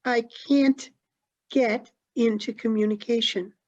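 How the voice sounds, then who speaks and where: A grieving, doubtful widow acted by a woman, high-voiced and mellow. She speaks earnestly and plaintively in a stage drama, over a dull, lo-fi recording. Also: steady tone, slow pace. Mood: mournful, doubting. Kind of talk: one-act stage play performed on a video call.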